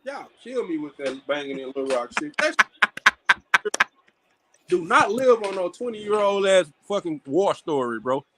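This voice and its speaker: Blunt, profane man with a high voice. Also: very animated, measured pace, very noisy. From Arkansas. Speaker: podcast co-host. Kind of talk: heated casual debate.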